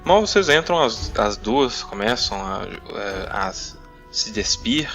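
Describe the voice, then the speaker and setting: A young man with a Brazilian accent narrates a tabletop roleplaying game in a fairly low voice. He improvises, pausing to search for words, while music plays clearly behind him. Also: expressive, fairly bright tone. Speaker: game master.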